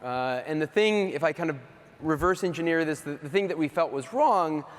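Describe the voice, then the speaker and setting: A man's voice: fairly high pitch, animated, normal speed. Chatty man on a reverberant stage. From US East Coast. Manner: chatty, self-deprecating, many fillers. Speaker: keynote speaker. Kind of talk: casual conference keynote. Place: large hall stage. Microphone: handheld or stage mic. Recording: reverberant hall.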